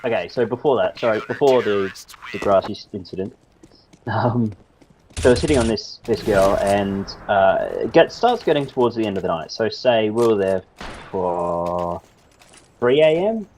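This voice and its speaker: Man, lowish voice, casual sweary storytelling, halting and repetitive, slightly noisy clicky chat mic. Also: lively intonation, a little mellow. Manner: loose, halting, repeats himself. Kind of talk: casual rambling anecdote with friends, sweary. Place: online voice chat.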